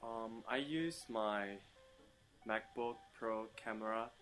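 A young man from Germany answering interview questions casually, with many pauses and ums and playful outbursts. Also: fairly low pitch, faint music behind. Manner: hesitant, many ums, bursts into playful loud laughter. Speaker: interview guest.

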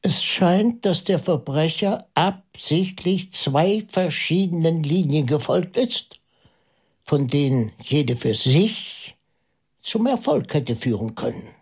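An actor playing a pondering priest detective in a German radio play, methodical and intense, musing aloud with halting emphasis. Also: male voice, a little high, expressive, a little mellow, breathy edge, exaggerated voice. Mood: pensive, analytical. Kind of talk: German radio play dialogue, deliberate monologue.

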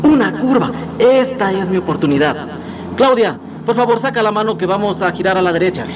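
Man acting a jittery, guilty husband, wildly swinging pitch. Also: animated, a little fast. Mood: nervous, hurried. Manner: agitated, muttering, exclaiming. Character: nervous, guilty husband, secretly panicking while driving. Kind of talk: Spanish-language radio drama acting. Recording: noisy 1990s radio drama with car sounds.

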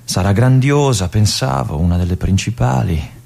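An actor playing a restless young army lieutenant in a radio monologue, confiding and brooding in halting asides. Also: male voice, lowish voice, vocal fry, a little mellow. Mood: uneasy. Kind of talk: theatrical monologue.

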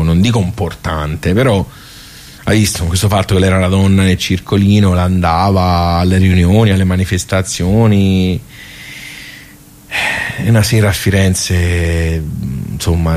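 An actor with a Tuscan accent playing a plain-spoken village social club regular in a radio monologue, rambling and hesitant, repeating himself with chatty asides. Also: male voice, low voice, a little mellow, lightly acted. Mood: fond, admiring, then troubled, hesitant. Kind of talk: theatrical radio monologue, colloquial storytelling.

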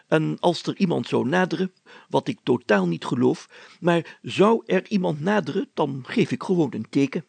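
A man voicing an excitable, self-important village mayor in a storybook, chatty, pompous and full of exclamations. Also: fairly high pitch, normal speed, creaky, slightly rough, no echo, heavily acted. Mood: blustering, self-assured.

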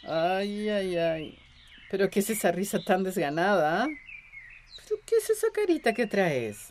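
Animated, chatty village woman. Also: mid-range voice, measured pace, a little hiss or hum. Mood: startled, cheerful. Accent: Central American. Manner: expressive, exclamatory. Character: cheerful, chatty village woman, practical and fond. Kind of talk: radio drama acting.

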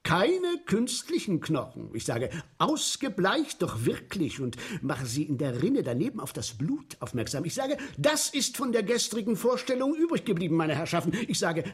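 An actor playing a boastful showman of a gruesome arena in a theatrical German radio drama, moving between hushed murmurs and loud barker's calls. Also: high voice, wildly swooping pitch, moderate pace, hardly any pauses, husky, exaggerated voice. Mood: proclaiming, grandiose.

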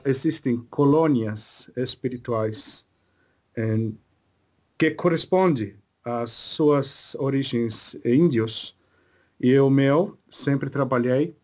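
Man voicing a calm male Cree spirit guide; very slow, broken delivery, mid pitch. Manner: slow, broken phrasing with many pauses. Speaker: medium channeling a spirit. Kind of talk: slow halting spiritual channeling.

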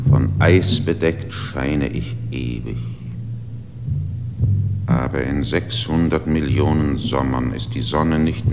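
An ancient, brooding slate mountain, deep and gravelly. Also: male voice, exaggerated voice. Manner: slow, solemn, half-whispered. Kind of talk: poetic radio play monologue. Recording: long reverb under clear music.

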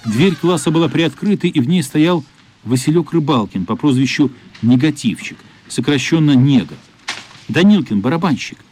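A man narrating a radio play in an expressive, measured literary storytelling style. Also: fairly high pitch, slight background noise.

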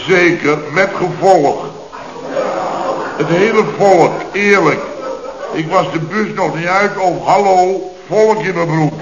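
A roomy, muffled old radio recording of an indignant company boss. His voice sits a little high, and he blusters with heavy sarcasm in a late-sixties comedy serial style. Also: slow, noisy background. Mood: aggrieved storytelling. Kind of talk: late 1960s Dutch radio comedy acting.

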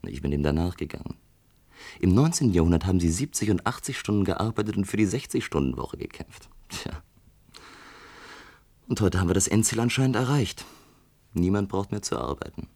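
An actor playing a brooding, sardonic out-of-work electrician at a bar in a science-fiction radio play, deep and husky. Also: male voice, big pitch swings, fairly quick, long pauses, a little mellow, full character voice. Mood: ruminative. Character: brooding, disillusioned unemployed electrician drinking at a bar.

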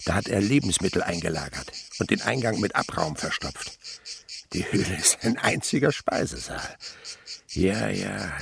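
A man's voice: low-pitched, creaky, a little hiss or hum, heavily acted. An actor playing an enthusiastic rural insect researcher and father, lecturing children in a rhapsodic, theatrical way in a radio drama. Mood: rapt, eager. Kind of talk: German radio drama acting.

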